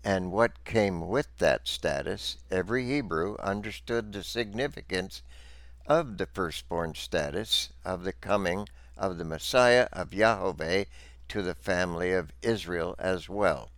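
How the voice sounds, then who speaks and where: A man with a low, resonant voice narrates religious teaching from a script. His delivery is slow and deliberate, with a formal, didactic manner. The sound is clean and smooth. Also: fairly bright tone, no room sound.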